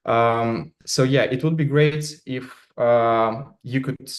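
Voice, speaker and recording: A man from Russia with a Russian accent, a native Russian speaker giving a conference talk in English over a video call, halting and filling his speech with many "uh"s and "like"s. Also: mid-range voice, fairly even intonation. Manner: halting, many fillers and restarts. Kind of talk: remote conference presentation.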